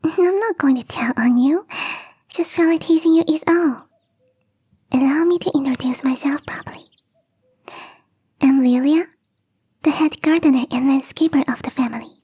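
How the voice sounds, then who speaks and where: A woman voicing a young catgirl gardener in an ASMR roleplay, teasing, coy and playfully smug, with a breathy, whispery voice. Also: sits high, moderate pace, pauses often, warm tone, no echo, studio quality, natural voice. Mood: playful, friendly. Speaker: voice actress.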